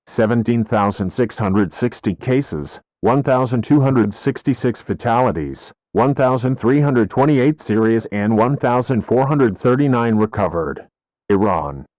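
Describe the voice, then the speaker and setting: A synthetic-sounding man reading a scripted emergency bulletin in a formal, flat, list-like manner. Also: lowish voice, dry recording. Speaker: emergency alert announcer. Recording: dull lo-fi audio.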